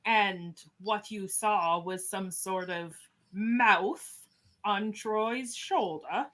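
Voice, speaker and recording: A woman playing a fussy, eager starship captain in a radio play, speaking formally and deliberately. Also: mid-range voice, fairly bright tone. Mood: questioning.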